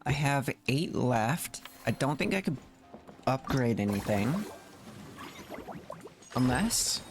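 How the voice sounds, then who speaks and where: A man's voice: medium pitch, sing-song, slow pace. A man doing casual gameplay commentary as a streamer, thinking aloud and muttering to himself in short fragments. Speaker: gaming streamer. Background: background music.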